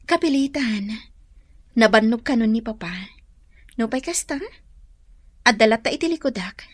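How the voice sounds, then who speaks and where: Curious young daughter, spirited, higher-pitched, breathy-rough voice, radio drama, dry sound. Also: female voice, lively intonation, moderate pace, no room sound. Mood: curious, insistent. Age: young adult.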